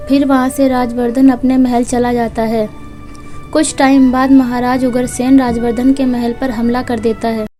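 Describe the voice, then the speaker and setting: A woman's voice: fairly high pitch, level tone, normal speed, dark tone. A woman narrating a children's moral story from a script, as a storyteller. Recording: music behind the voice. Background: background music.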